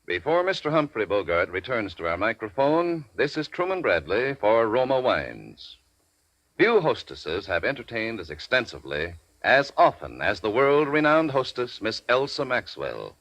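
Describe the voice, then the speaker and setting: Male 1940s radio ad announcer, mid-pitched and very animated, selling smoothly in a dry studio. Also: moderate pace, no room sound, dull audio. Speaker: commercial announcer. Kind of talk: 1940s radio advertising, scripted.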